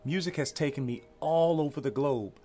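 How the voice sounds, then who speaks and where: A man's voice: a little high, moderate pace, warm tone. An American man presenting a children's read-along storybook, encouraging and emphatic as he invites listeners to join in. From Louisiana, USA.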